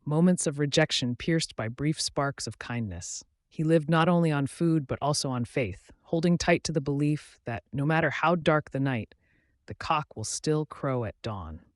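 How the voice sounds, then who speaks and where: A man's voice: fairly high pitch, normal speed, dry recording, clean studio sound. A man reading a scripted folktale as a storyteller.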